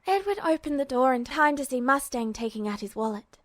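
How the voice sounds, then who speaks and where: A woman with a fairly high voice narrating a story in a steady, storytelling manner. The recording is close and dry with no room sound. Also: fairly quick, no echo. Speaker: podfic reader. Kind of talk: scripted fiction narration.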